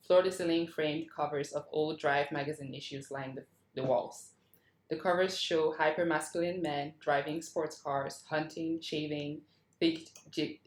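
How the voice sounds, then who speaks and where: A woman reading out scene headings and action lines from a script as scripted narration. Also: low voice. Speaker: reader of stage directions at a table read. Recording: roomy, echoing video call audio.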